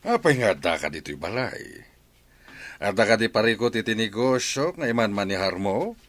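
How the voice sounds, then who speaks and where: A man's voice: lowish voice, sing-song, normal speed, fairly bright tone, no room sound. An actor playing an evasive, blustering middle-aged father in a radio drama, with a hedging delivery.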